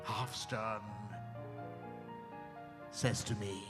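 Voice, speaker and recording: A man playing a genteel narrator telling his own story in a dramatized reading, measured and savoring each detail. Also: fairly low pitch, steady tone. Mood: measured, theatrical. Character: genteel man recounting a tense visit. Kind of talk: dramatized first-person storytelling. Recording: hall reverb with clear music behind.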